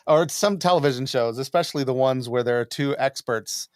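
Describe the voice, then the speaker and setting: A man in his forties hosts a casual podcast chat. His voice is middle-pitched and conversational, and the recording is very dry, with no room sound. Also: fairly quick, clean tone, no room sound. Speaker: podcast host. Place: room at home, on a video call.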